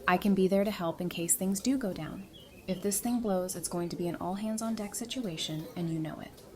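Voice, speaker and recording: A woman's voice: medium pitch, a little fast, dark tone, natural voice. A woman playing a caring, stubborn and playful survivor in an audio drama. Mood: determined. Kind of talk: fan audio drama acting.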